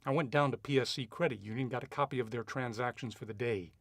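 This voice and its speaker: A man's voice: mid-range voice, fast, fairly bright tone, no room sound. A man playing a shrewd, cynical private investigator in a radio drama, both narrating and questioning in a noir style. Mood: probing, cool. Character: shrewd hard-boiled male private investigator, cynical and probing.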